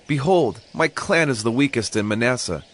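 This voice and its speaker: A man's voice: mid-range voice, lively intonation, moderate pace, natural voice. A man playing a humble, doubting, fearful young Israelite in a dramatized scripture reading, pleading and earnest.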